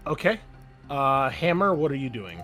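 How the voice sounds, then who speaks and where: A man's voice: medium pitch, sing-song, normal speed, pushed and bright. A man narrating a tabletop game as game master in a casual, conversational, descriptive way. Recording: music playing underneath. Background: music.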